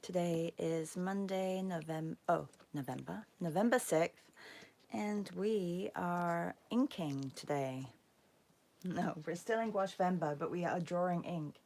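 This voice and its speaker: A woman with a hoarse, croaky voice after a concert, chatting casually with viewers as she hosts an art livestream. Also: on the low side, takes it slowly, a little mellow. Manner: chatty, greets viewers by name. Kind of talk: casual livestream chat while painting.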